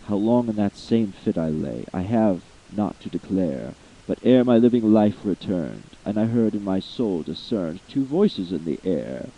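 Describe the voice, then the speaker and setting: A man giving a slow, theatrical reading of a long narrative poem, swelling with feeling at the high points. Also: sing-song, gravelly, warm tone, husky, lo-fi sound. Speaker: amateur voice actor reading poetry. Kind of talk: dramatic poetry reading.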